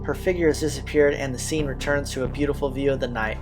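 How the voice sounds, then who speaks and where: A man hosts a playthrough, reading narrative text aloud in a middle-pitched voice. His delivery is informal and slangy. Game music plays clearly behind him. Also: steady tone, fast. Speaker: let's-play host reading a visual novel aloud. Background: visual novel soundtrack.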